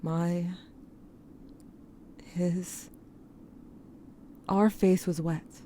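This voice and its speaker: A woman playing a haunted, grieving diarist in an audio drama, narrating in a measured, brooding and intimate way. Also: fairly low pitch, dark tone, a little hiss or hum. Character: haunted, grieving diarist recounting dreams to a lost sibling. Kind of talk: scripted first-person audio drama narration.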